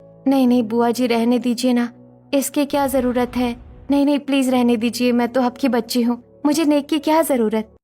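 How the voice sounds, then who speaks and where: Woman acting a high-voiced, exasperated young daughter-in-law. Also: steady tone, normal speed, warm tone, faint music behind, close to an everyday voice. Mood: polite, obliging. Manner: complaining, polite to elders. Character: overworked, exasperated young Indian daughter-in-law, polite outwardly. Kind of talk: Hindi audio story dialogue acting.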